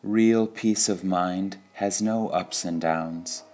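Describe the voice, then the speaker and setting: Slow, low-pitched man reading spiritual sayings. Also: steady tone, darkish tone. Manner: measured, deliberate, with pauses between short phrases. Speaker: reader of spiritual quotations.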